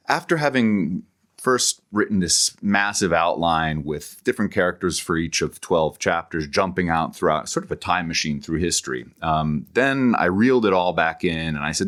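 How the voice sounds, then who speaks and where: A middle-aged man with a very deep voice talks as a podcast guest. He explains things conversationally like an academic, often pausing and repeating words while he works out the thought. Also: animated, fairly bright tone. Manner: halting, repeats words as he thinks aloud.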